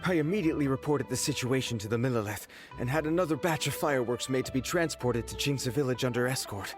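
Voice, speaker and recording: A man's voice: mid-range voice, fast talker, natural voice. Man as an anxious game townsman over music. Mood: worried. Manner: flustered, earnest, explanatory. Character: anxious, deferential male festival organizer. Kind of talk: video game voice acting. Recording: music playing behind.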